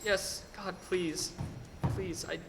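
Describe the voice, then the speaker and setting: A man playing an excitable, stammering small-town family man in a stage radio play. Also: high voice, takes it slowly, gravelly, loud music behind the voice, full character voice. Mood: pleading. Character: desperate, then overjoyed small-town family man George. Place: stage in a hall. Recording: echoey hall, faint noise.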